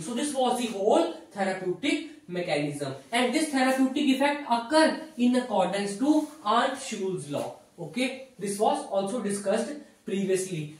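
Man, Indian accent, thirties, didactic lecturer, short repeated phrases, heavy room echo, distant microphone. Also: sits high. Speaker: physiotherapy lecturer. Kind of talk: whiteboard lecture. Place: small indoor classroom at a whiteboard.

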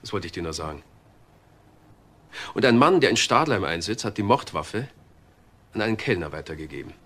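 Low-voiced, insistent police detective. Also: male voice, expressive, a little fast, a bit bright. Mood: matter-of-fact. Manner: direct, insistent. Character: blunt, pressing male police detective. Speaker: actor in a crime drama.

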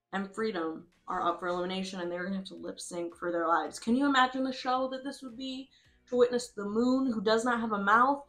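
A woman's voice: mid-range voice, a bit bright. Playful woman commentating over music. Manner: playful, slangy, announces results like a pageant host. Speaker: host of a simulated reality competition. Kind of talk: casual reaction commentary.

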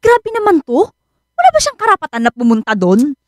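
An actress playing a blunt, exasperated best friend in a radio drama, with a Filipino accent. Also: female voice, high-pitched, wildly swooping pitch, brisk, dry recording, studio quality.

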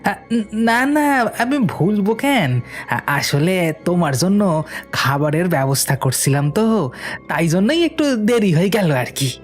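A man's voice: high-pitched, big pitch swings, a little slow, soft background music, pitched above the performer's own voice. A man voicing a timid young villager in a horror cartoon, stammering nervously and apologetically. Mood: nervous, apologetic.

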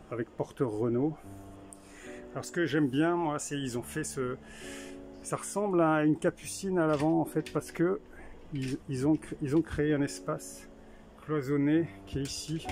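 Man, casual camper-van walkthrough, slightly high voice, thinks aloud with restarts, music clearly behind him. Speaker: vlogger touring a camper van. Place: inside a small camper van at a trade show. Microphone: handheld camera.

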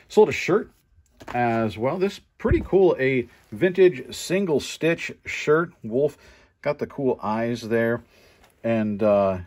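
A man's voice: mid-range voice, a little mellow, breathy edge. A man giving casual, conversational show-and-tell commentary as an online reseller going through his sales. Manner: informal, conversational.

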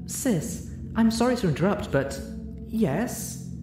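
A man voicing a young female unicorn in a story, mournful, gentle and apologetic. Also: high-pitched, lively intonation, takes it slowly, music in the background, voice pushed up. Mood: regretful. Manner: regretful, gentle. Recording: roomy echo, audible noise.

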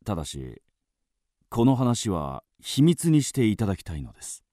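An actor playing a polite, serious police detective in a radio drama, speaking formally and with measured deference. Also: male voice, on the low side, sing-song, mellow, no room sound, clean studio sound. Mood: grave.

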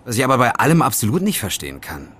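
An actor playing an argumentative young student friend, colloquial and insistent, in a German audio drama. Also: male voice, mid-range voice, wildly swooping pitch, very fast, fairly bright tone, slightly rough, heavily acted. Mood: skeptical.

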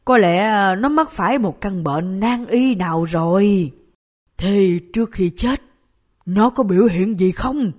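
An actor playing an elderly male pet doctor in a comedy cartoon, measured, pondering and sympathetic. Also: mid-range voice, a little mellow, close to an everyday voice. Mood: solemn. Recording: music behind.

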